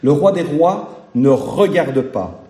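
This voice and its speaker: A man preaching to his congregation, his voice deeply dark and mellow. He mixes homely jokes with earnest repetition, and the sound carries an echo from the room. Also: expressive, slow pace. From Belgium. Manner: folksy, humorous, emphatic repetition. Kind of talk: sermon.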